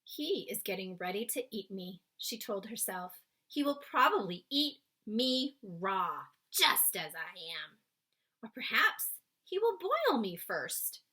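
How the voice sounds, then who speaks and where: A woman reading a children's story aloud expressively, with dramatic pauses, speaking to young listeners. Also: medium pitch, very animated, bright, forceful tone, slightly rough.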